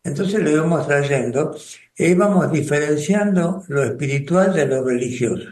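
An older man with an Argentine Spanish accent speaks slowly and thoughtfully, with pauses and restarts, as he recalls his past in a radio conversation. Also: on the high side, animated, darkish tone, roomy sound. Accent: Rioplatense Spanish. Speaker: spiritual group coordinator and radio guest.

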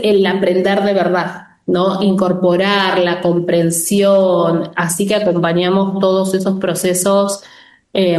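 A woman hosting a spiritual workshop, gently explaining her answers to viewer questions. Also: lowish voice, echoey room. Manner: gentle, explanatory, drawn-out phrasing with frequent "¿no?".

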